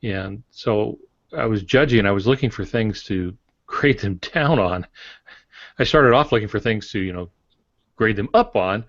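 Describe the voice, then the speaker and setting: A man with a low voice co-hosts a writing podcast, talking calmly with many small pauses. The sound is dry and close. The audio is slightly dull and lo-fi. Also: no room sound. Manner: relaxed, thoughtful, many fillers. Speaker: podcast co-host, author. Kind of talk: casual podcast chat.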